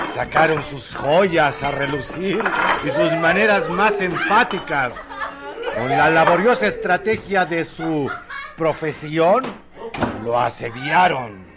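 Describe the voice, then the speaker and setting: A man's voice: fairly high pitch, heavy background noise, close to an everyday voice. A man narrating a scripted radio drama in a dramatic style.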